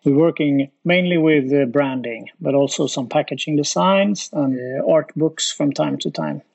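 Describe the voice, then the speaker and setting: A middle-aged man speaks English with a Swedish accent as an interview guest. His voice is a little high for a man and has a clear fry to it, and he explains things in a measured, hesitant way. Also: a little mellow. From west coast of Sweden. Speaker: interview guest, studio founder and designer. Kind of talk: conversational interview answers.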